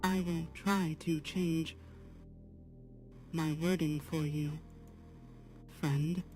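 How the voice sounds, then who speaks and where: A woman voicing a polite, apologetic artificial-intelligence assistant on a livestream, speaking formally in measured, halting phrases. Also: low-pitched, steady tone, darkish tone, faint music behind. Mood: earnest, apologetic. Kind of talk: slow guided talk to a live chat.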